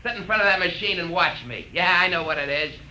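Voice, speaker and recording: A murderous, jealous spaceman in an old radio play. His high male voice is hard-edged and sneering, full of threat and agitation. The vintage recording has background noise. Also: fast talker, bright, forceful tone. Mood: sneering, menacing. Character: jealous, unhinged, murderous spaceship crewman turned gunman. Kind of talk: old-time radio drama acting. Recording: noisy old radio broadcast.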